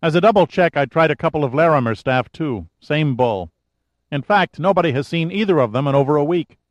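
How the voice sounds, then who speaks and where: A male narrator voicing a brisk, wisecracking young woman secretary on a phone call. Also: on the high side, lively intonation, no echo, voice pushed up, natural voice. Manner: wisecracking, quick, efficient.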